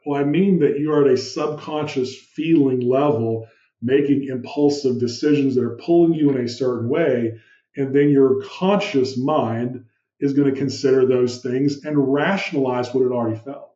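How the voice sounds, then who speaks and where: A man, a podcast guest and business founder, explaining ideas in an animated way with rhetorical questions and a big, commanding voice. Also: medium pitch, reverberant, lo-fi sound. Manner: animated, uses vivid room analogies and rhetorical questions; describes his own voice as big and commanding. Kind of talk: explanatory interview talk.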